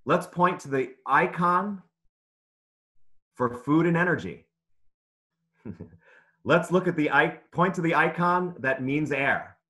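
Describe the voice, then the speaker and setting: A man's voice: fairly high pitch, slow, long pauses, pushed and bright. A man reading aloud and teaching young children in simple, encouraging, instructive phrases during an online lesson. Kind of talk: interactive children's read-aloud lesson over video call.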